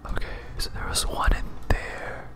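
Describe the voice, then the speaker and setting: A gaming host giving whispered, breathy ASMR commentary in a casual, self-muttering way while playing. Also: moderate pace, music in the background.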